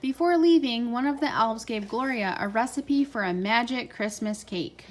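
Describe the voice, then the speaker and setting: A woman's voice: a little high, moderate pace, slight background noise. A woman reading a children's storybook aloud as a parent reading with a child.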